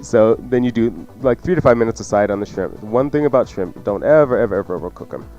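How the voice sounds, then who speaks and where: A man's voice: lowish voice, quick pace, a little mellow, highs cut off. Playful man talking cooking over music. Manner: joking, teasing, campy. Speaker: cooking show host. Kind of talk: casual instructional cooking talk.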